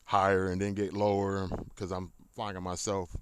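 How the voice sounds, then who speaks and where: A man's voice: deep voice, monotone, moderate pace. An American man giving a casual, unscripted gear walkthrough as a hobby fisherman, rambling in a folksy, informal way into a tablet. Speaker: hobby kayak fisherman showing his gear. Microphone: handheld tablet, moving nearer and farther. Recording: noisy tablet audio, distance from mic shifting.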